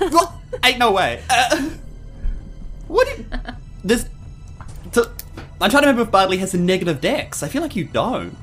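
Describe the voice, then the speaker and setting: Animated, joking man at a game table. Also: extremely high pitch, unhurried, pauses often, faint music behind. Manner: joking, playful. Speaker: player on a tabletop role-playing podcast. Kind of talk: casual banter.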